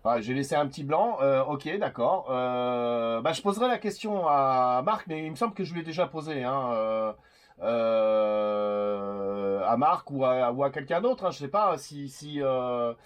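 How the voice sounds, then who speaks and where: A man's voice: mid-range voice, measured pace. A man chatting casually as a CB radio operator, hesitant and rambling as he goes. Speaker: CB radio operator making videos.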